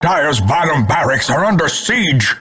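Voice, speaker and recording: An actor playing a grim, scornful older male announcer in a video game, grandiloquent and portentous, with a gravelly, husky voice. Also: a little high, big pitch swings, unhurried, pushed and bright, heavily acted. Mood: urgent warning. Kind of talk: theatrical scripted game announcements.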